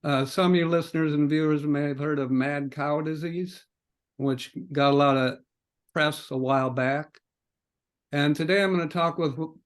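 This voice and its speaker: Higher-pitched American man hosting a podcast. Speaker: podcast host. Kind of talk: conversational interview.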